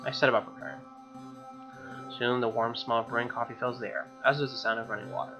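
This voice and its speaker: A man's voice: medium pitch, fairly even intonation, quick pace. A young man reading visual novel narration aloud as a let's player, informal and dropping casual asides. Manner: informal, with gamer slang. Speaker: video game let's player. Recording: slightly dull sound, music behind. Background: soft game music.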